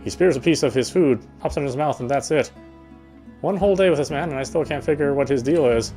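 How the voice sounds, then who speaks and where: Guy reading out a visual novel story, quick pace, little stumbles, faint music under it. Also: mid-range voice, dark tone. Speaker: video game player reading a visual novel aloud. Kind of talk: narrating first-person story text aloud.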